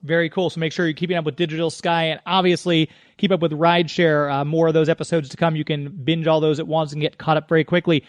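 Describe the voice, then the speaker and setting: High-voiced male radio host, dry sound. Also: little pitch movement, fast talker, few pauses, no echo. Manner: friendly, encouraging. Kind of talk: upbeat interview hosting.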